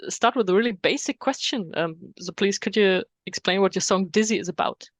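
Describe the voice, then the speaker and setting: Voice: mid-range voice, a little fast, dry recording. A podcast interviewer whose first language is German, asking short, plain questions in careful English.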